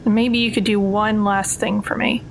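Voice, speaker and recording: A woman's voice: medium pitch, flat delivery, unhurried, a little hiss or hum, lightly acted, poor audio. A woman playing a wry, resigned female thief in a tabletop game, speaking a little hesitantly.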